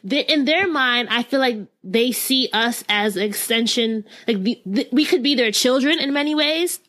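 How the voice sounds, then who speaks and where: A woman's voice: on the high side, moderate pace, fairly bright tone. A woman in her twenties with a youthful, girlish voice, hosting a casual talk and thinking aloud earnestly. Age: 20s. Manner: earnest, thinks aloud, frequent "mm-hmm" backchannels. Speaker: podcast host. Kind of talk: casual conversational interview.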